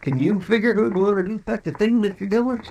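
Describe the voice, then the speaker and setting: A man's voice: high voice, fast talker, gravelly, darkish tone. A man playing an anxious, loyal sidekick in an old-time radio western, with a rural American accent and a folksy, fretful, deferential manner. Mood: alarmed. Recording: noisy vintage radio recording.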